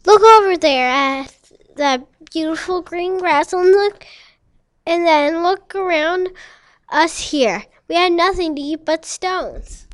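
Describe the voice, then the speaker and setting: A woman's voice: very high voice, expressive, slow pace, no room sound, heavily acted. A woman playing a protective big billy goat, the cautious older brother in a storybook tale, earnest and scolding. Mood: longing.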